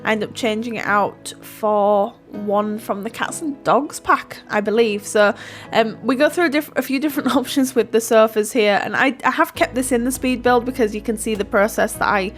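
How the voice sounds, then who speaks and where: A woman's voice: on the high side, moderate pace, soft background music. A woman, stuffy from hay fever, chatting casually through a voiceover of her building game, giggling and self-deprecating. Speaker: YouTuber narrating a Sims build.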